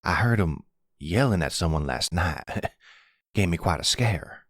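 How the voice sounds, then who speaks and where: A man voices an older father and mob boss in an intimate audio roleplay. The voice is very low and slow, earnest and gentle with a regretful, reassuring air. It sounds close and dry. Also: expressive, dry recording. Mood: fond, teasing. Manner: measured, fatherly, earnest, soft-spoken. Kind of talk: intimate ASMR roleplay acting.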